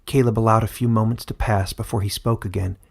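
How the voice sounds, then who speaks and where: A man narrating a scripted fantasy story as an audiobook, in a measured storytelling manner. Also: fairly low pitch, steady tone, gravelly, a little mellow.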